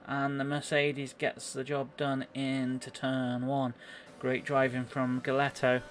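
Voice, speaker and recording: A man giving live play-by-play commentary on an online racing game, a little halting as he thinks through the action. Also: mid-range voice, monotone, slight background noise.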